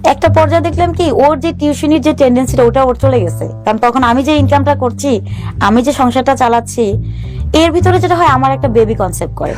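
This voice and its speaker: Earnest, halting woman, higher voice. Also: a little mellow, faint music behind. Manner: earnest and halting, with restarts and English words mixed in. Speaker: guest sharing her life story. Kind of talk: personal storytelling on a talk show.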